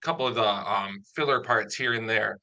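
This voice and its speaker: A man's voice: on the low side, normal speed, creaky, pushed and bright. An American man from northern Wisconsin, hosting and interviewing in a casual conversational style. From northern Wisconsin, United States. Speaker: interviewer and channel host. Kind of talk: casual spoken introduction and interview chat.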